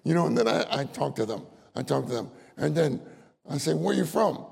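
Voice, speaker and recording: Older male preacher, high gravelly husky voice, folksy storyteller, echoing hall. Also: lively intonation, fairly quick, darkish tone. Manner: folksy storytelling, laughs often, acts out voices. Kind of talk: sermon. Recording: long hall reverb.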